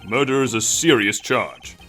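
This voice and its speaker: A man voicing a stern, formal older judge in a fan-dubbed video game, measured and authoritative. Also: mid-range voice, bright, forceful tone, soft background music. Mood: stern, solemn.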